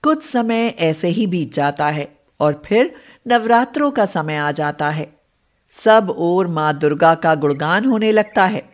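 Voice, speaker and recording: A woman's voice: lowish voice, expressive, takes it slowly. A woman narrating a scripted moral story for children as the storyteller.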